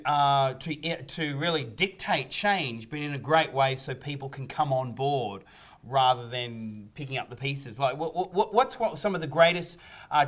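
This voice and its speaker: Bright-voiced man hosting a talk show. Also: mid-range voice. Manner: eager, repeats words when starting questions. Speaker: host.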